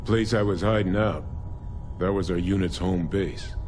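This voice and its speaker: An actor playing a blunt, wry military scientist in a sci-fi video game, plainspoken and clipped. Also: male voice, sits low, steady tone, normal speed, over music, natural voice. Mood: skeptical. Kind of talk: video game dialogue acting.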